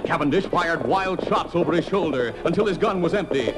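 A man's voice: on the high side, expressive, brisk, poor audio. A man narrating an old-time radio drama in a dramatic, scripted style. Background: dramatic music.

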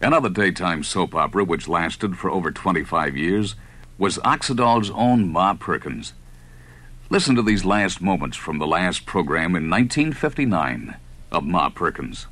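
Deep, bright-voiced male radio host. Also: lively intonation, normal speed. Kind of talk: scripted narration.

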